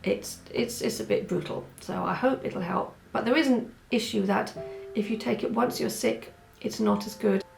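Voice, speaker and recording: A hoarse woman talks in a relaxed, chatty vlog, wandering into asides as she goes. Her voice has a gravelly edge and sits at a middling pitch. The room around her sounds roomy and echoey, with faint music underneath. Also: moderate pace, few pauses. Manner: chatty, rambling with many asides. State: hoarse from a cold. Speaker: vlogger. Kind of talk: casual chat.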